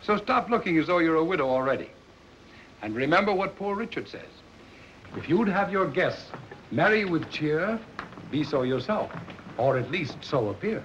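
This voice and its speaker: A high-voiced, eloquent colonial gentleman, declaims with precise theatrical diction. His tone is bright and forceful, on a slightly noisy 1930s film soundtrack. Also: very animated, moderate pace, lo-fi sound. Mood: genial, reassuring. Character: fiery, eloquent colonial Virginia patriot and genial husband. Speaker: actor in a film.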